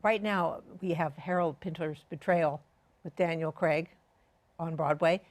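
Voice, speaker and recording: A woman's voice: low-pitched, steady tone, a little slow. A woman co-hosting a talk show, asking guests questions in a conversational way. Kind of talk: conversational interviewing.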